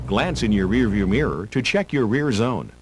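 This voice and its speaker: A man reading scripted driver-education narration in a measured, instructional manner. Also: lowish voice, expressive. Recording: hum and hiss of old tape.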